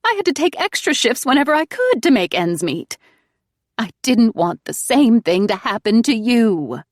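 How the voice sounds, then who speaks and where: A crooning, wheedling middle-aged mother who twists guilt into affection, as a woman narrator puts her on. She sits higher and louder than the plain narration, leaning hard on each plea. The audiobook recording is dry and close. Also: very animated, normal speed, no room sound.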